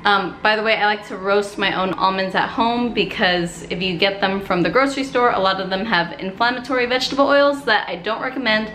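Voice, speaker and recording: A woman's voice: mid-range voice, brisk, hardly any pauses, fairly bright tone, slight background noise. A young woman vlogging in her home kitchen, talking straight to the camera in an upbeat, chatty way and calling her viewers "you guys.". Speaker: health vlogger. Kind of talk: direct-to-camera tips vlog. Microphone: camera at close range. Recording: roomy kitchen echo, faint music behind.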